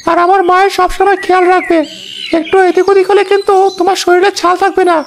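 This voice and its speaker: A woman voicing a mother-devoted, stern grown village son in an animated drama, earnest and commanding. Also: very high-pitched, fast, a little hiss or hum. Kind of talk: animated horror drama acting.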